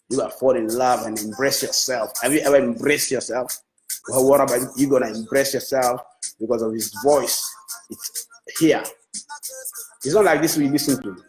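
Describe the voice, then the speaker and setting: A man's voice: mid-range voice, low-quality recording. A man whose first language is Swahili, a music manager talking on a Facebook live, enthusiastic and repetitive, restarting his phrases as he praises an artist. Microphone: phone.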